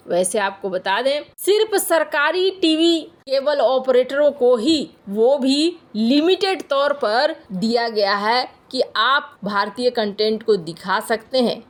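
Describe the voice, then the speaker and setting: A woman news presenter narrating scripted reports in a conversational, explanatory way, addressing listeners directly. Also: high-pitched.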